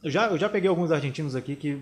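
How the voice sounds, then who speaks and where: A man's voice: on the high side, very fast. A man, co-hosting a talk show and giving advice in a conversational manner. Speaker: co-host.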